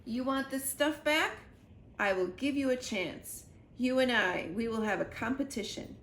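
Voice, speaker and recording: A woman voicing a smug, calm male spy villain from a children's storybook, measured and condescending. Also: a little high, voice pushed up. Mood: scheming, smug. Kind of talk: children's storybook read-aloud. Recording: roomy echo with clear background noise.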